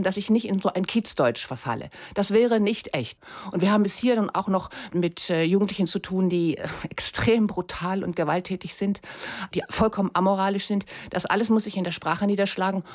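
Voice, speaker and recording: A woman's voice: mid-range voice, a little mellow. A woman, answering radio interview questions as a guest in a considered, articulate way. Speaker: literary translator, interview guest.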